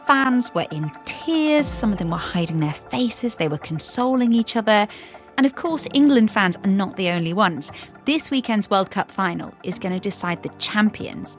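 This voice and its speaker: A woman hosting a radio news podcast, presenting in a bright, conversational way from a studio. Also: medium pitch. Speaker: podcast host.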